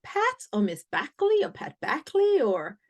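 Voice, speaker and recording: A woman hosting a podcast who speaks slowly and draws out her phrases. Her pitch swings widely as she talks, which makes her sound enthusiastic and animated in a friendly interview. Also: muffled recording. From United States. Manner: enthusiastic, lively, drawn-out phrasing. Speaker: podcast host.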